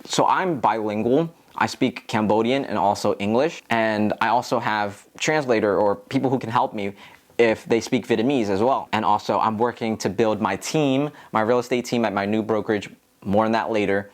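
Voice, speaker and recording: A man's voice: on the low side, normal speed. A man with an American accent talking casually to viewers as a tutorial host, in an earnest, encouraging, explanatory way. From Philadelphia, United States. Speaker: real estate agent and video host.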